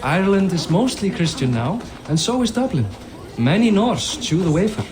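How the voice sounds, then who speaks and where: A man's voice: high-pitched, sing-song, normal speed, noisy background. An actor playing a boisterous, proud middle-aged Viking king in a video game cinematic, hearty and boastful as he declaims.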